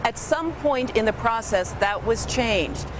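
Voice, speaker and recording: A woman's voice: on the high side, lively intonation, measured pace. A woman working as a television news reporter, explaining events step by step in a live report. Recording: faint ambient background noise.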